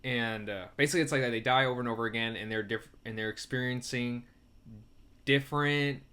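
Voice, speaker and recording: A man's voice: medium pitch, fairly even intonation, fairly quick, pushed and bright, clear, resonant tone. A man co-hosting a movie review podcast, talking casually and loosely as he searches for words. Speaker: podcast co-host. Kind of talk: casual film review chat.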